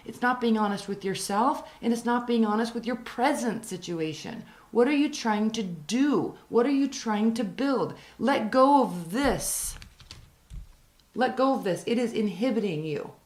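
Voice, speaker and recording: A woman with a raspy, hoarse voice reading tarot cards, earnest, repeating her advice and slowing down to stress it. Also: mid-range voice, roomy sound. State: hoarse from talking for days. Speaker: tarot reader.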